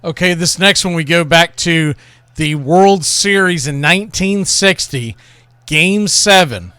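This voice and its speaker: A man's voice: on the high side, measured pace, pushed and bright, clean tone, no echo. A man hosting a baseball show, reminiscing and commenting in a rambling, conversational way about classic calls. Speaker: baseball radio show host.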